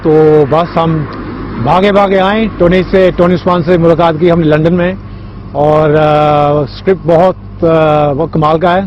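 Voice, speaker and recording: A male stage actor talking in an unscripted interview, halting and repeating words. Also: on the high side, fairly even intonation, a little mellow. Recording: dull sound with faint noise.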